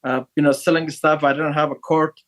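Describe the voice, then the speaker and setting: A man in his thirties who grew up in Afghanistan, his voice sitting a little higher than most men's. He speaks haltingly, padding his answers with fillers and stopping to restart his sentences. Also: flat delivery, fairly bright tone. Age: 30s. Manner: hesitant, many fillers and restarts. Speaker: guest. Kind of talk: casual interview talk.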